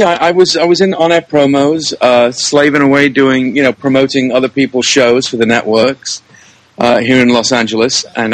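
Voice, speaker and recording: A man telling his story casually as an interview guest, often halting to search for words. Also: medium pitch, dry recording, low-quality recording. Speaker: interview guest, comedy producer.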